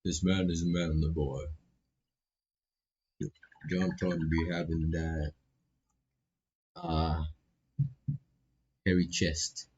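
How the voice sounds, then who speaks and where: A man's voice: extremely low pitch, little pitch movement, extremely slow, pauses often, a little mellow, a little hiss or hum. A man casually reading a game aloud and tossing in joking, slangy asides as a streamer. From Philippines. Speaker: gaming streamer. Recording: slightly dull, lo-fi mic. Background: faint game music.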